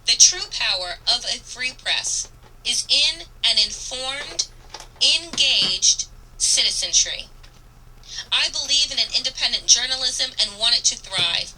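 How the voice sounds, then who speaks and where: High, very bright, forceful woman commentator. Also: distant mic, slight background noise, rough recording. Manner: emphatic, earnest, deliberate delivery with dramatic repetition. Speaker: radio talk show host. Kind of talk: impassioned political commentary reading from an op-ed.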